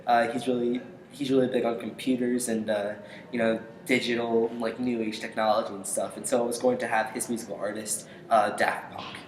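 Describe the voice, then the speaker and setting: A young man giving casual interview answers in a hesitant, halting way, pausing often. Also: medium pitch, little pitch movement. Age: young adult. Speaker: interview guest, aspiring voice actor. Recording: echoey room with faint noise.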